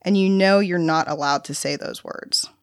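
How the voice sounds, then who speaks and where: Woman playing a patient, coaxing carer, very animated. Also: medium pitch, no room sound, studio quality, pitched above the performer's own voice. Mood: gentle, insistent. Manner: soothing, deliberate, explaining as to a child. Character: patient, coaxing female carer handling a volatile child.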